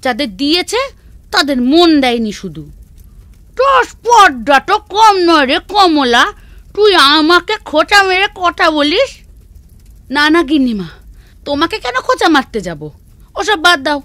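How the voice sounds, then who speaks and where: A village woman from a children's cartoon. Her voice is very high and bright, with expressive, emphatic delivery. It is recorded dry with music underneath. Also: moderate pace, dry recording, heavily acted. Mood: scolding, haughty. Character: village women: maid, mistress, wife, daughter. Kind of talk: Bengali children's cartoon voice acting.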